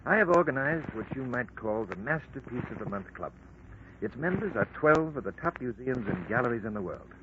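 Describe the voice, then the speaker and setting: A man's voice: mid-range voice, lightly acted. A man playing a formal, long-winded art patron. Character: earnest middle-aged male art patron, fussy and proud. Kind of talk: 1940s radio acting. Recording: lo-fi old radio recording with hiss.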